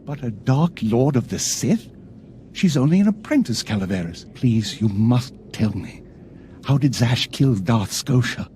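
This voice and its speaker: A haughty colonel from a video game , acted by a man, pressing and pompous. His mid-pitched voice is mellow, with animated rises and falls. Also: unhurried, slight background noise, close to an everyday voice. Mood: urgent, pressing. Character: insistent male Sith colonel, haughty, anxious gossip.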